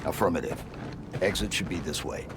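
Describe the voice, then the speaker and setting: A man plays an armored super-soldier from a video game. The voice is deep and commanding. Every phrase is terse, clipped and deadpan, with loud music behind it. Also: a little fast, lightly acted. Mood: stoic. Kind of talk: video game cutscene acting.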